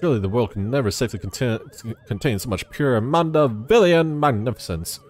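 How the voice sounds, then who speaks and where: A man voicing a pompous, excitable gentleman inspector from a video game, with florid, theatrical old-fashioned diction and naive courtesy. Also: mid-range voice, brisk, natural voice. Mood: exuberant.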